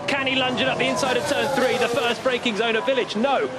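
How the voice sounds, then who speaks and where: A man works as co-commentator on a live motor race, explaining the moves as they happen. His voice is high. Loud music and car noise sit behind it. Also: steady tone, fast talker.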